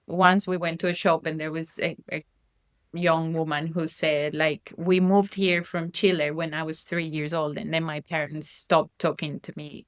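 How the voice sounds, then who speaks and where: Colombian-accented woman, low voice, dry sound. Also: a bit bright, no echo. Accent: Colombian Spanish. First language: Spanish. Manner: reflective, many restarts and fillers. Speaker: guest parent. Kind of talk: casual conversation.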